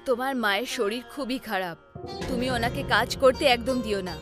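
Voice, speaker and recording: A serious village doctor, read by a woman, firm and instructive. The cartoon story voice sits lower than the narration, with music behind. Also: moderate pace, close to an everyday voice. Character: serious village doctor advising a patient's son.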